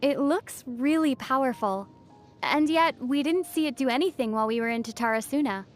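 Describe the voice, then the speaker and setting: A woman playing a young female traveler in a video game, gently teasing and reassuring, with a playful, dry manner. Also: high voice, brisk, soft background music, lightly acted. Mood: curious, calm. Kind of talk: video game character dialogue.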